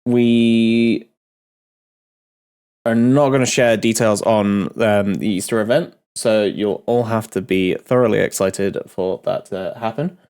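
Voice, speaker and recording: A man hosting a casual game developer Q&A, a bit ill and nasal, rambling with many pauses and restarts. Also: on the low side, steady tone, a little mellow, clean tone. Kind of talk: casual dev talk Q&A.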